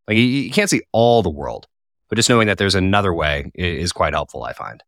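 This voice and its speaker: A man's voice: low voice, expressive, fairly quick, fairly bright tone, dry recording, studio quality. A man from Colorado hosting a podcast, reading an upbeat intro and asking friendly questions. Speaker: podcast host.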